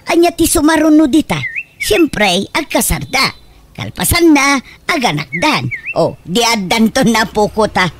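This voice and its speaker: A woman playing an excitable, doting village mother in a radio comedy, with an exclamatory, giggly, gushing delivery. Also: on the high side, measured pace, background noise. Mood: gleeful, chatty. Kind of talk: comedy radio drama acting.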